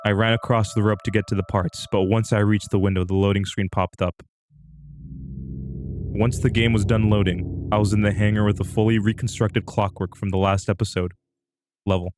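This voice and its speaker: A man's voice: fairly low pitch, little pitch movement, normal speed, over music. A man livestreaming a dramatic reading of an amateur horror story. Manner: breaks off into amused asides.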